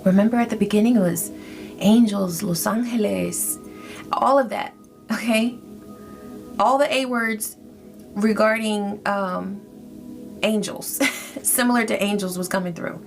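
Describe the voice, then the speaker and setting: A woman giving a spiritual tarot reading in a soft, reflective, gently encouraging way. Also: mid-range voice, measured pace, vocal fry, pauses often. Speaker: tarot reader. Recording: faint music behind.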